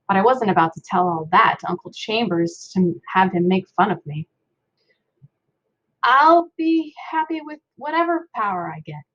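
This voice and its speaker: A woman's voice: mid-range voice, lo-fi sound. A woman reading a children's storybook aloud as a first-person narrator. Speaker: story reader.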